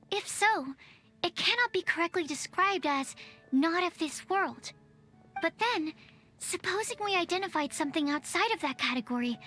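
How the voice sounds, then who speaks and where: A woman's voice: extremely high pitch, pushed and bright, full character voice. A woman voicing a shy, earnest young female alchemist in a video game, hesitant and thinking aloud. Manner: hesitant, musing, overthinking. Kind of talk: game voice acting.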